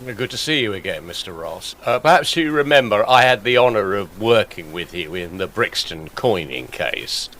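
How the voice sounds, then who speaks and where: A man's voice: on the low side, very animated, normal speed, few pauses, fairly bright tone. An actor playing a courteous, matter-of-fact male Scotland Yard detective in a gothic audio drama.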